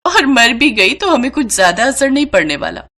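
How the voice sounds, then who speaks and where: A woman's voice: a little high, big pitch swings, moderate pace, sharp tone, voice pushed up, full character voice. A woman as a scolding older mother-in-law. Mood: scornful. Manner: scolding, taunting. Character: stern, scolding older Indian mother-in-law, scornful and bossy.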